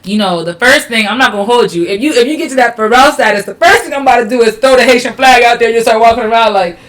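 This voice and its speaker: A woman's voice: on the high side, fast. A woman hosting a podcast interview in a chatty, encouraging, slangy way. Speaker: podcast host.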